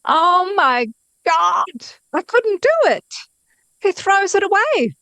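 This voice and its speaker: A woman's voice: very high voice, very animated, slow pace, pushed and bright, no room sound. A woman in her fifties chatting casually as an interview guest, effusive and self-deprecating about her own work as a novelist. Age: 50s.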